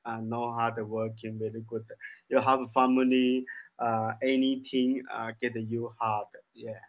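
Man, thirties, Chinese accent, English learner, slow halting delivery, simple phrases, medium pitch. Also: little pitch movement, poor audio. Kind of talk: casual English practice conversation. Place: small room indoors, video call. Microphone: desk microphone.

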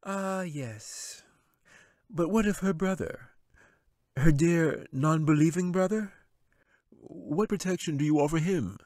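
A man's voice: a little high, a little mellow, dry recording, clean studio sound, pitched above the performer's own voice. Man voicing a taunting villain wizard. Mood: mocking. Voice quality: gloating, slow and taunting. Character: sly scheming male wizard villain, silky menace.

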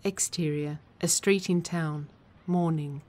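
A woman reading scripted narration and stage directions in a measured, deliberate manner. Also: sits low, steady tone, a little mellow.

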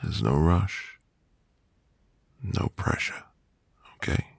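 A man playing a sweet, reassuring newlywed husband in an intimate ASMR roleplay, with a gravelly, breathy voice and a gentle manner. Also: deep voice, lively intonation, no echo, full character voice. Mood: soft, reassuring. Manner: slow, gentle, soothing. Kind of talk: ASMR boyfriend roleplay, intimate soft delivery.

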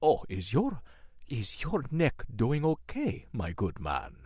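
A man playing a courteous, easily alarmed gentleman host with theatrical old-fashioned manners in a horror radio play. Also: medium pitch, wildly swooping pitch, slow, husky, no room sound, studio quality, full character voice. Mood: courteous, reassuring.

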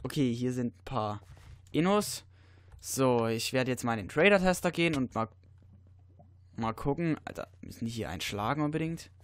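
A teenage boy with a blocked, stuffy nose from a cold talks through a video game at a middle pitch. He rambles loosely, fills his gaps with "uh", and now and then jumps into excited yelps. The sound is dry and close to the microphone, with no room around it. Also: normal speed, dry recording. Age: teens. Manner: rambling, many fillers, sudden excited outbursts. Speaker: let's player. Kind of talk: casual gameplay commentary.

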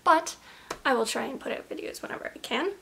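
A young woman talks straight to her camera in a small room, rambling and laughing at herself in a fairly high voice. Allergies leave a slight rasp in her throat. The camera's built-in mic picks up a faint hiss behind her. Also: lively intonation, a little fast, sharp tone. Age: young adult. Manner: rambling, giggly, self-conscious. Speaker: vlogger. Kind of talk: casual chat to camera.

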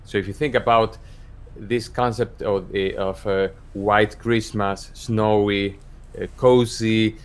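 A middle-aged man from Argentina, a cantor, speaking as a guest over a video call in a measured way with pauses and fillers. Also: lowish voice, steady tone, smooth. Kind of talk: casual conversation. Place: home office. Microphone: studio microphone on a video call.

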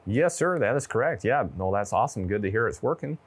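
A young man with a fairly low voice presents a radio demo. He talks casually, as if chatting to a fellow hobbyist, close on a lapel mic indoors. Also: animated, quick pace, breathy edge. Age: 20s. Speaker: presenter demonstrating ham radio gear. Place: indoor studio with wood-panel backdrop.

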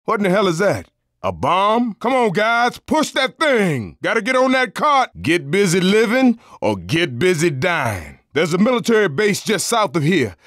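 High-pitched, folksy Southern coach. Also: male voice, huge pitch swings, moderate pace, a bit bright, no room sound, studio quality, heavily acted. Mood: urgent. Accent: Southern American. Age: middle-aged. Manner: folksy, emphatic. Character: big, plain-spoken school coach surviving zombies. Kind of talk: video game voice lines.

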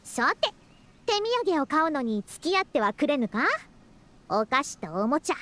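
High-pitched, proud anime scholar girl. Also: very animated, normal speed, fairly bright tone. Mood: brisk. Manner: archaic elderly-style phrasing, self-important yet caring. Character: proud, youthful-sounding woman scholar who speaks like an elderly senior. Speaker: scholar and teacher. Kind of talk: Japanese anime-style video game voice acting.

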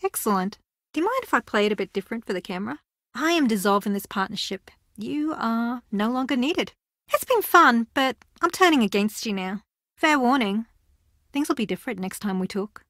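A woman's voice: fairly high pitch, expressive, a little fast, a bit bright, dry recording, studio quality. An actress voicing a driven, blunt young female reality-show contestant in a video game, wry and clipped. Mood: coolly confrontational.

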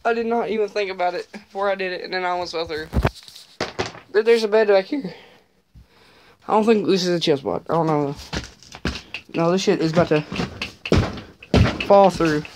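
A man's voice: high-pitched, normal speed, pauses often. Young man swearing through noisy phone audio. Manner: loose, profane, jumpy. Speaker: vlogger exploring abandoned buildings. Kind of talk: casual handheld exploration chat. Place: outdoors in woods and ruined sheds. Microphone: phone camera carried while walking. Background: rain and loud handling noise.

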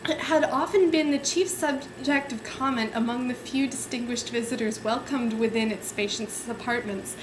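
A woman's voice: fairly high pitch, background noise. A woman in her twenties giving an amateur dramatic reading of an overwrought novel, giggly and prone to stumble over florid sentences. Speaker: amateur reader. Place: indoor room at home.

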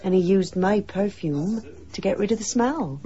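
A woman's voice: medium pitch, animated, moderate pace, dark tone, lo-fi sound, lightly acted. An actress playing a saucy, gossipy village woman in a radio drama, with a teasing, knowing, colloquial way of talking. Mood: confiding.

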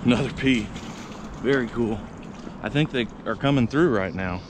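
A man vlogging while fishing outdoors, narrating casually and cheering loudly when fish bite. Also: mid-range voice, moderate pace. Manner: excitable, bursts of loud cheering on catches. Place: outdoors by urban water, windy. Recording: windy outdoor audio, clear background noise.

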